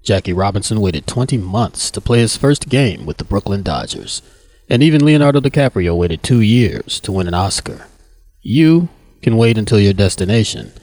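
A man's voice: fairly low pitch, a little mellow, no room sound, low-quality recording. A man narrating a scripted public service announcement.

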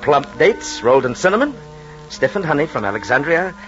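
An actor playing a scheming, petulant Roman emperor in an old radio drama, with a hesitant, stammering, theatrical delivery. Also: male voice, fairly high pitch, big pitch swings, a little fast, faint music behind. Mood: oily, coaxing. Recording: dull vintage radio recording.

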